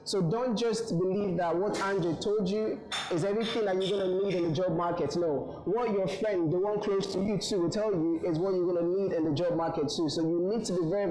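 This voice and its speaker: An earnest young man gives an encouraging speech to a room of graduates. His voice sits a little high and rings around a reverberant hall. He searches for words, with fillers and quick repeats along the way. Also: darkish tone. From Ghana. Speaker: invited speaker. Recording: echoey room.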